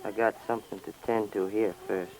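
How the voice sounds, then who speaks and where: An actor playing a menacing, commanding armed fugitive from swamp country in a folksy 1950s radio crime drama, on an old broadcast recording. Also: male voice, fairly low pitch, steady tone, a little fast, a little mellow, natural voice, rough recording. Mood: coldly menacing.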